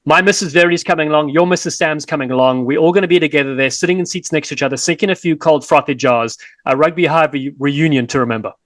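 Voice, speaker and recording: A lively South African man hosts a sports podcast with chatty, playful energy. His voice sits fairly high. The sound is dry and close. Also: fast, hardly any pauses, dry recording. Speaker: podcast co-host and rugby commentator. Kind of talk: upbeat podcast hosting.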